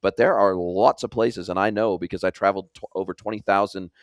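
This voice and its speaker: A man's voice: low voice, steady tone, a little fast, dry recording, studio quality. A man with a mixed American and New Zealand accent, hosting a podcast interview in an effusive, talkative, conversational way. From California, United States, later long in New Zealand. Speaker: podcast host.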